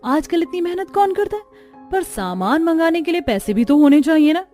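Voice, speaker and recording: A woman's voice: very high voice, big pitch swings, moderate pace, dark tone, voice pushed up. Woman as snobbish rich young wife, condescending, cartoon family drama, music behind. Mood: boastful. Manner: condescending, showing off. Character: haughty, sneering rich young daughter-in-law.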